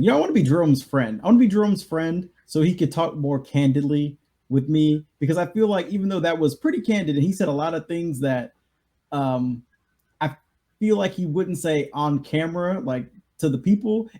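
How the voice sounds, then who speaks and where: Mellow, higher-pitched man chatting. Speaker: video show host. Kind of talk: casual reaction chat.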